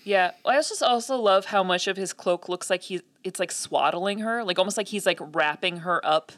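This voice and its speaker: Low-voiced woman, thoughtful chat. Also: normal speed, a bit bright, no room sound, studio quality. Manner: thoughtful, many fillers and hedges. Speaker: podcast co-host. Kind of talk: casual podcast chat.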